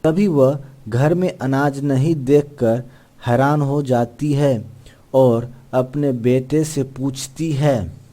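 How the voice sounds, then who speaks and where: A man's voice: mid-range voice, takes it slowly, dark tone. A man reading scripted narration for a children's cartoon story.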